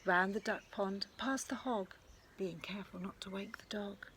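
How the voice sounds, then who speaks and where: A woman's voice: mid-range voice, steady tone, normal speed, fairly bright tone, slight background noise, close to an everyday voice. A woman voicing a tiny ladybird, whispering a warning to the farm animals in a storybook. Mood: urgent whisper.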